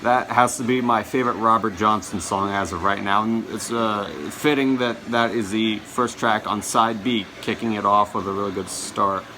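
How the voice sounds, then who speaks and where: A man's voice: on the low side, fairly even intonation, normal speed, few pauses, noisy background. A man vlogging in a casual chat to his viewers, rambling and often stumbling over his words and correcting himself. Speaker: vlogger showing his collection.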